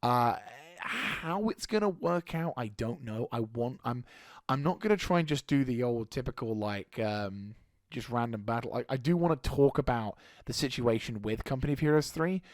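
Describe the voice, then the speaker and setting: A man with a slightly hoarse throat giving a casual channel update as a gaming YouTuber, rambling and halting with frequent restarts. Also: medium pitch, lively intonation, no room sound, studio quality.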